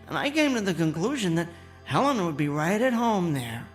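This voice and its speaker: An actor playing a mild, eccentric inventor and loyal friend in a television drama, speaking earnestly and politely. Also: male voice, high voice, sing-song, moderate pace. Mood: quietly satisfied. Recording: music under the voice.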